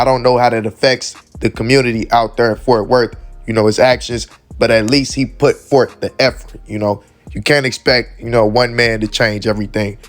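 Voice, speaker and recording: Hoarse male host, earnest casual commentary, faint music behind. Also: medium pitch. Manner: earnest, repeats "man" often. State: hoarse, losing his voice.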